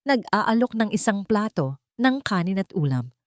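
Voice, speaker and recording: A woman reading a scripted dramatic story as narrator, in a measured and solemn storytelling manner. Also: mid-range voice, sing-song, dry recording, studio quality.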